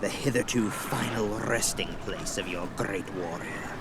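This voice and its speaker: Slow, pompous necromancer villain. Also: male voice, on the low side, very noisy. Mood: grandly confident. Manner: pompous, deliberate. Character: self-styled nice male necromancer, vain, overconfident villain. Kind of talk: fantasy audio drama acting.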